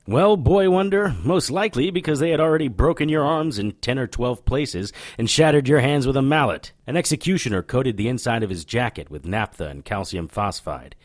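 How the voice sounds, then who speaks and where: A man's voice: mid-range voice, lively intonation, a little fast, few pauses, no echo, studio quality, natural voice. A man playing a cocky, sardonic occult detective in a noir-flavored audio drama, showy and needling. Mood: smug, lecturing.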